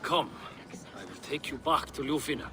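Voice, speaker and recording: A man playing a dutiful, caring Norse husband in a video game, speaking gently and formally. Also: a little high, steady tone, normal speed, noisy background, natural voice. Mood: dry, helpful. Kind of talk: dramatic video game dialogue.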